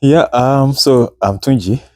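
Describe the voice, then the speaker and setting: Hesitant Nigerian-raised man, dark voice. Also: medium pitch, slow, dry recording, clean studio sound. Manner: hesitant, many fillers and restarts, laughs often. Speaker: guest. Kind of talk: casual interview chat.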